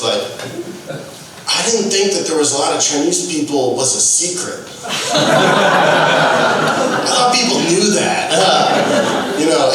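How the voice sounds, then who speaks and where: A man who does stand-up comedy, telling stories animatedly on a discussion panel. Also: on the high side. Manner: animated storytelling, laughs through jokes. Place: large echoey event hall. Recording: echoey hall from far off, background noise.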